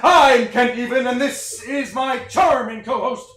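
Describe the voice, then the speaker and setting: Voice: mid-range voice, measured pace, a bit bright, natural voice. A performer playing a perky news anchor in a satirical puppet newscast, with upbeat, mock-solemn announcer patter in a staged reading. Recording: roomy, distant microphone.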